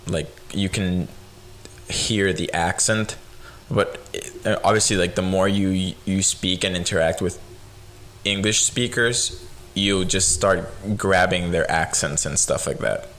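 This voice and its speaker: Young man, low voice, light Spanish accent. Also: slow. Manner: relaxed, rambling with many fillers. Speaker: podcast host. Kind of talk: casual chat.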